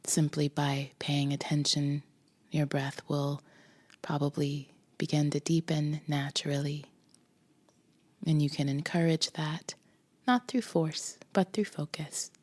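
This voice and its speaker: A woman leading a guided meditation with a soft, breathy voice, gently instructing in short phrases with pauses between them. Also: low-pitched, fairly even intonation, no echo. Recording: music behind the voice. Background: music.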